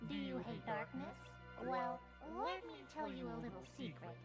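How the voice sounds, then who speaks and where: A woman's voice: high-pitched, animated, normal speed. A woman voicing a playful, smugly taunting creature of darkness in a theatrical, teasing way for a game fan dub. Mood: mocking, playful. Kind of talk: fan-dubbed video game cutscene acting. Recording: loud game music behind the voice.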